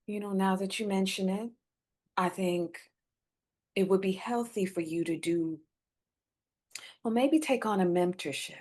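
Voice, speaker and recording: A woman's voice: medium pitch, unhurried, long pauses, a little mellow, lightly acted. A woman playing a guarded, burdened wife and determined community organizer in a screenplay reading. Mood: coaxing. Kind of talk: live staged screenplay reading.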